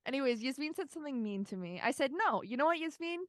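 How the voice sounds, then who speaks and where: A woman co-hosting a podcast, joking energetically in casual banter and swinging loud at times. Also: sits high, expressive, fast talker, no echo, clean studio sound. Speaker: podcast co-host. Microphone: remote call, separate room.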